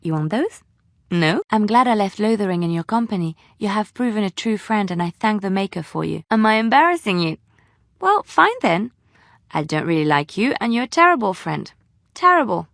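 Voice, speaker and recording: An actress voicing a gentle, earnest young woman bard in a video game, with an expressive, deliberate delivery. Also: mid-range voice, vocal fry, no room sound, clean studio sound. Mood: playful, teasing. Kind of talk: scripted video game dialogue.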